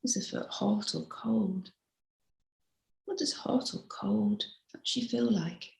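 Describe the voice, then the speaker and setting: A woman leads a guided meditation as a mindfulness teacher, giving soft, unhurried instructions. Her voice sits at a middle pitch with heavy vocal fry. The recording sounds noticeably echoey and roomy. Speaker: mindfulness teacher leading a body scan.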